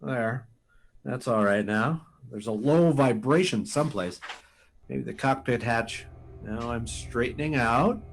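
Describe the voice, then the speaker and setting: A man playing a daring, steady test pilot given to excitement, in radio drama style. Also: mid-range voice, unhurried, creaky, a little hiss or hum. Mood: focused, reporting.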